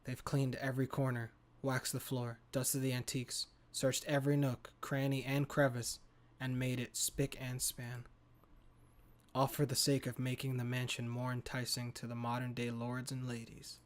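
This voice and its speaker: A man's voice: medium pitch, completely flat delivery, moderate pace. A man reading a horror game's story narration aloud as a gaming host. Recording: clear background noise.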